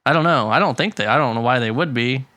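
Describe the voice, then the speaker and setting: Chatty man with a bright, dry voice. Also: mid-range voice, quick pace, dry recording. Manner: rambling, laughs often, many restarts. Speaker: podcast co-host. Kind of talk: casual chat. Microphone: pop filter.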